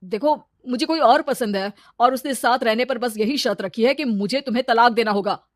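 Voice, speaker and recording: A woman voicing an arrogant, fickle husband, boastful and wheedling, in an animated story. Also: fairly high pitch, moderate pace, no room sound, studio quality, natural voice. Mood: cold. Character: arrogant, fickle, money-proud husband, easily smitten.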